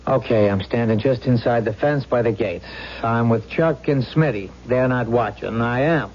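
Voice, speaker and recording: A man's voice: medium pitch, moderate pace, darkish tone, slight background noise, lightly acted. An actor playing an earnest, unsure patrolman, hesitant and deferential, in a 1970s radio drama. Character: earnest, unsure male patrolman, plain-spoken subordinate. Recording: muffled vintage radio broadcast.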